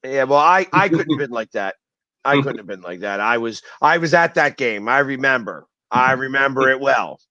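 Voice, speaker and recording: A man with a Philadelphia accent hosting a casual sports chat, loud and boastful as he teases his guests. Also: mid-range voice, lively intonation, normal speed, dry recording, lo-fi sound. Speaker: host.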